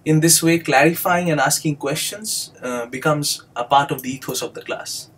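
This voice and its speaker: A young man from India with an Indian English accent, a native Bengali speaker, giving a measured recorded monologue as an instructor, often pausing with um and uh. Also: fairly high pitch, a bit bright, slightly rough. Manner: measured and explanatory, many ums and uhs. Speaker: doctoral student and instructor.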